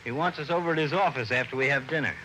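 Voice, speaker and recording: A man's voice: mid-range voice, racing, background noise, lightly acted. An actor playing an earnest, plain-spoken male policeman, the detective's friend, in an old radio drama. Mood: puzzled, earnest.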